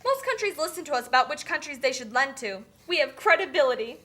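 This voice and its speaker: A woman's voice: high voice, lively intonation, fast talker, bright, forceful tone. A woman playing an officious global lending official in a puppet show, explaining things with authority. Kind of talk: scripted educational puppet show.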